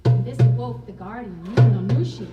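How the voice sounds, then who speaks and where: A woman telling a folktale to children at a live puppet show, with measured, dramatic phrasing. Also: fairly high pitch, highs cut off. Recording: echoey, distant mic, music over voice. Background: ukulele or guitar music, clearly heard.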